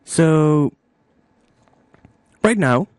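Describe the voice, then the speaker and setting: A man narrating a music software tutorial step by step, informally thinking aloud into a close microphone. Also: on the high side, lively intonation, very slow, clear, resonant tone, dry recording.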